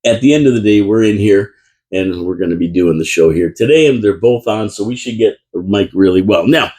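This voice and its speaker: A man's voice: fairly low pitch, animated, a little fast, dark tone. A man hosting a live local radio talk show from a small studio, chatty and rambling with lots of restarts. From Canada. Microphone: studio microphone.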